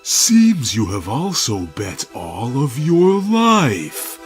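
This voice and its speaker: A man's voice: mid-range voice, huge pitch swings, drawn-out words, faint music behind, pitched above the performer's own voice, full character voice. A man voicing a cocky, quipping action-hero cat in a dramatic web reading, with smug, stilted one-liners.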